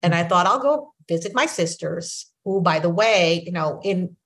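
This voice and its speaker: Low-voiced Filipino woman in her sixties. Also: expressive, moderate pace, fairly bright tone. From Philippines. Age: 60s. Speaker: podcast host. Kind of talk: casual conversation.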